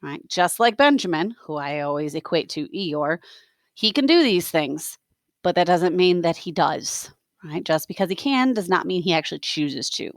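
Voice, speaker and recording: A woman reading a story aloud as a teacher, expressive, with explanatory asides. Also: fairly low pitch, moderate pace, dry recording, studio quality.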